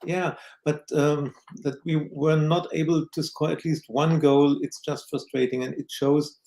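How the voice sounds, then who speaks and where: Flat-voiced man, hesitant regular guest. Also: a little high, unhurried, vocal fry, poor audio. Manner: hesitant, many "uh" and repeated words. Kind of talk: casual football chat.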